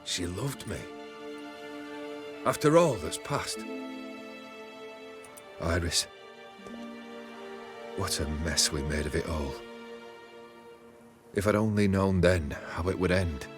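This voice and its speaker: A man playing a proud, troubled nobleman in a video game, with a haughty, theatrical way of speaking. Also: on the low side. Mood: grieving, regretful.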